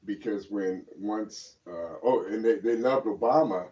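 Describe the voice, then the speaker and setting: Deep-voiced man, mellow and distant. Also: unhurried. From born in Germany. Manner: emphatic, opinionated, rambling with repeated words. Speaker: political talk show co-host. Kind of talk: casual political commentary. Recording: muffled lo-fi audio, distant microphone.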